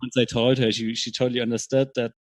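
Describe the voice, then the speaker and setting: A man answering as an interview guest in casual chat, explaining things in a measured, hesitant way. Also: medium pitch, level tone, no echo. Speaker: podcast guest, software startup co-founder.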